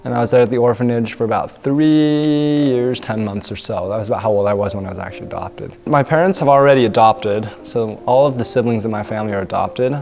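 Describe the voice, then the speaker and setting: A young man in his twenties, born in northern China, speaking softly and reflectively about his adoption. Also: on the low side, fast, creaky, hardly any pauses, faint music behind. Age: 20s. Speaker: interviewee. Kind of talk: personal testimony.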